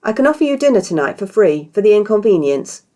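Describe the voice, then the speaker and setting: A woman's voice: mid-range voice, sing-song, fast talker, voice pushed up, natural voice. A woman voicing a helpful, professionally cheerful woman innkeeper in a story, polite and innocent-sounding. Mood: apologetic, helpful. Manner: polite, bright, feigning innocence.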